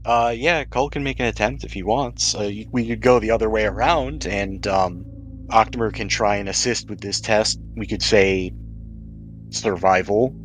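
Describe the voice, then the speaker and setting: A man's voice: on the low side, moderate pace, slight background noise. A man acting as game master, narrating scenes and explaining rules in a tabletop game.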